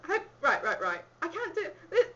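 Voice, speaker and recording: A woman's voice: very high voice, wildly swooping pitch, moderate pace, muffled recording, heavy background noise. A woman with a slight Scottish accent, chatting and giggling as she works through a questionnaire in a rambling way. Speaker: vlogger. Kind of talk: casual chat answering a questionnaire.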